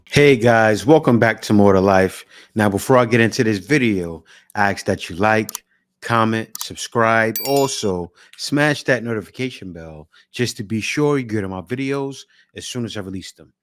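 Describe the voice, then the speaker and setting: A man hosting a reaction channel, talking straight to his viewers in a relaxed, casual way. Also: on the low side, moderate pace, dry recording. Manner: relaxed, direct talk to viewers.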